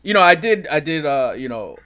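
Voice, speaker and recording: A man's voice: a little high, sing-song, brisk, pushed and bright. A man co-hosting a casual fan discussion, speaking in an informal, slangy way. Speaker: podcast co-host.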